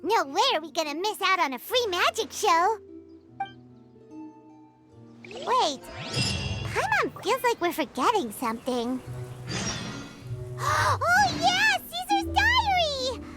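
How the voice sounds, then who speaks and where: A woman voicing a small, chirpy, childlike fairy companion in an anime game, with a squeaky voice and exclamatory delivery. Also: very animated, slow, pauses often, heavily acted. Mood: excited. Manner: refers to self in third person, exclamatory. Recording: music behind the voice.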